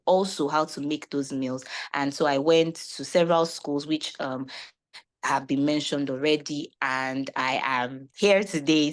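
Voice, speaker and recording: Low-pitched woman, reflective and earnest. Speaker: chef and panelist. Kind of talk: webinar personal testimony and advice.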